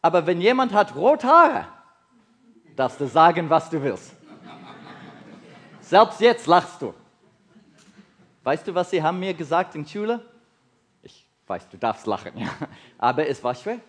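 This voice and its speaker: A South African man with an English-speaking accent preaches in halting German, slipping in grammar as he goes. His voice sits high and swings widely up and down. He keeps turning to his listeners with questions. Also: very animated, fairly bright tone. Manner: halting non-native German with slips, asks the audience questions. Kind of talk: sermon to a congregation.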